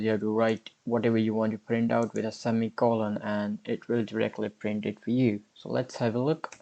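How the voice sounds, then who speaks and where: Young guy walking through code, slow and unhurried, keyboard clicks in back. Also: medium pitch, creaky, warm tone. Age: 20s. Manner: unhurried, explains step by step while typing. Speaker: coding tutorial host. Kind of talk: screen-recorded programming tutorial.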